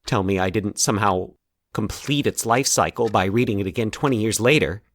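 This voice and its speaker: A man acting a troubled, anxious man in a fiction podcast, confiding his nightmares haltingly and with dramatic pauses. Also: fairly low pitch, a bit bright, no room sound, studio quality. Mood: pleading, anxious. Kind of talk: dramatic audio fiction monologue.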